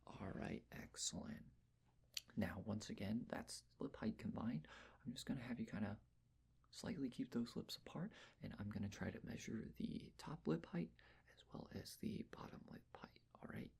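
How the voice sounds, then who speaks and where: A man speaking in a breathy whisper, gently and reassuringly giving step-by-step instructions in an ASMR personal-attention roleplay. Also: on the low side, expressive, moderate pace. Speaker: ASMR roleplayer taking face measurements.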